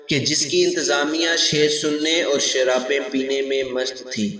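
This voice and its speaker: A young man with a Pakistani accent delivers a formal patriotic speech in an impassioned, rhetorical manner, with emphatic phrasing and deliberate pauses. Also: a little high. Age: young adult. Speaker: orator. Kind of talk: formal declamatory patriotic speech with recited verse. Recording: echoey reverberant hall sound.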